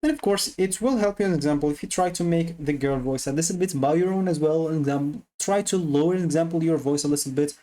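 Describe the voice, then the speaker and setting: Someone doing a casual how-to screen tutorial, mellow midrange voice, kinda repeats themselves. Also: mid-range voice, quick pace, few pauses. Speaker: tutorial presenter. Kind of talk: casual software tutorial narration.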